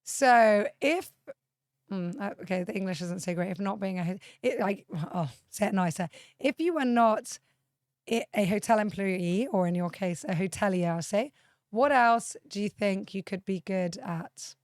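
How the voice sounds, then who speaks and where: Woman host, young adult, mid-pitched voice, chatty and upbeat, dry close mic. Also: moderate pace, no echo. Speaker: podcast host. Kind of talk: casual interview.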